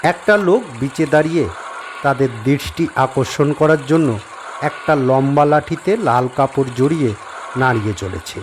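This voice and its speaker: Calm male audio-story narrator. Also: medium pitch, moderate pace, a little hiss or hum. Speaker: narrator and director.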